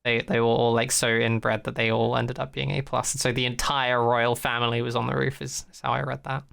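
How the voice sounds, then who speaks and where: A man's voice: medium pitch, level tone, a little fast, creaky, a bit bright. A man hosting a podcast, chatting in a casual, rambling comic recap style with an irreverent, sweary manner. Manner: irreverent, swearing, acts out quotes in put-on voices. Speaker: podcast host.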